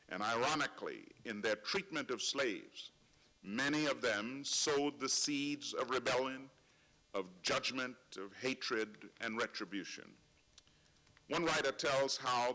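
Middle-aged guy reading a serious speech at a panel, slow deliberate pauses, sharp bright tone, desk mic. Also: mid-range voice, rough recording. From Trinidad. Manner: measured, solemn oratory with slow emphatic pauses. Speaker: conference speaker. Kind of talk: prepared speech. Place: large conference hall, seated at a panel table. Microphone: table microphone.

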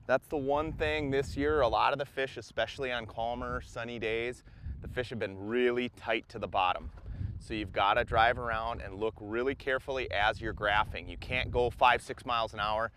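A man's voice: mid-range voice, steady tone, moderate pace, fairly bright tone, a little hiss or hum. A man in his forties, a fishing guide, giving an upbeat, chatty report to camera from an open boat on a lake, recorded on a lapel mic. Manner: upbeat, chatty, explaining as he fishes. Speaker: fishing guide and show host.

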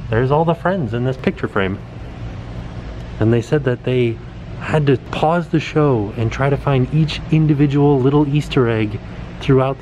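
A man in his forties talks at an average pitch while he walks a camera around a small indoor set. He sounds eager and keeps pointing out small details. A faint air conditioning hum sits under his voice. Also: dull audio. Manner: excited, pointing things out. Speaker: vlogger. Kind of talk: casual walkthrough vlog. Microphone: camera held at arm's length.

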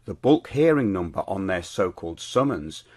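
A middle-aged British man presenting his show as host, reading scripted commentary in a measured, declarative way. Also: low-pitched, big pitch swings, a little mellow.

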